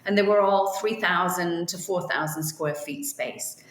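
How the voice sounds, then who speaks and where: Echoey older woman, softened English accent. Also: on the low side, a little slow. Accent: softened English, Liverpool roots. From Liverpool, England. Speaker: optical store owner, guest. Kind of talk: remote interview conversation. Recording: roomy echo.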